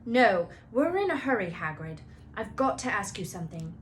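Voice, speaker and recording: A woman's voice: medium pitch, very animated, a little hiss or hum, natural voice. A woman voicing an earnest, inquisitive schoolboy with an insistent manner, reading a children's book aloud. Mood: pressing. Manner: insistent, pressing, halting when nervous.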